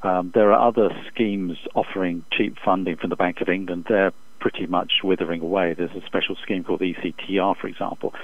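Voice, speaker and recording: A man speaking as an expert economist in an interview over the phone, giving measured, formal, halting analytical answers. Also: low-pitched, fairly even intonation, dry recording. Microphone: telephone. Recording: dull, muffled phone interview line.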